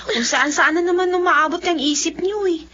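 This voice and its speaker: Young daughter role: high voice, humble, polite, radio drama, muffled sound. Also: female voice, moderate pace, sharp tone, a little hiss or hum. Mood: reassuring, resigned. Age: young adult. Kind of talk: Filipino radio drama acting.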